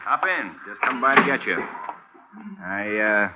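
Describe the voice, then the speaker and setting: An actor playing a wry, slangy American police detective in a radio drama, casual and matter-of-fact. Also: male voice, fairly low pitch, animated, unhurried. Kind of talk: 1950s radio drama acting. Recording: muffled, faintly noisy old radio recording.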